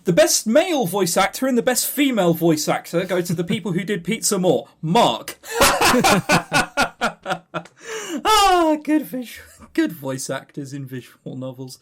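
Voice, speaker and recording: Sarcastic, high-pitched, animated man. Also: unhurried. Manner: rambling, sarcastic, dry humour; swears casually. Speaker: podcast co-host. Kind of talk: casual chat running through award lists.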